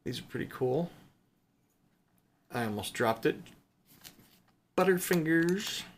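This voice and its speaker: A man's voice: on the high side, lively intonation, slow. Casual rambling man with background noise. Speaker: video host showing silver bullion purchases. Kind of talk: casual unscripted show-and-tell chat.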